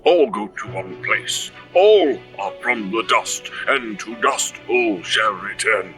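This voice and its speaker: Slow, low-voiced solemn reverend, acted by a man. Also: expressive, bright, forceful tone, far from the mic, soft background music, full character voice. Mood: solemn, prayerful. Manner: solemn, preachy, measured delivery. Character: solemn village reverend leading a burial. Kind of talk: scripted audio drama, scripture recitation.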